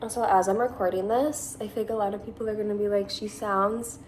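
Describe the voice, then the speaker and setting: A woman's voice: medium pitch, steady tone, brisk, darkish tone, a little hiss or hum. A woman in her twenties with a Californian accent, chatting casually through a makeup tutorial, rambling and saying "like" often. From Massachusetts, USA. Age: 20s. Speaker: beauty vlogger.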